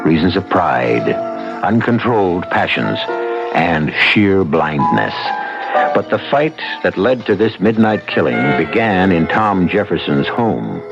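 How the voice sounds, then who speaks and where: A man's voice: low voice, big pitch swings, slow, vocal fry. A man hosting a radio drama with theatrical, portentous scripted narration. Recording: dull 1970s radio, loud music behind.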